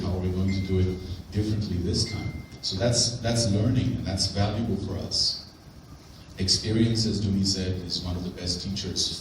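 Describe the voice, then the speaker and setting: A man presenting on grants at a conference in a hotel conference room, speaking in a clear, explanatory and emphatic way. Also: deep voice, noisy background. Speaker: grant program officer. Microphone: handheld mic, far camera. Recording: very echoey room from far off.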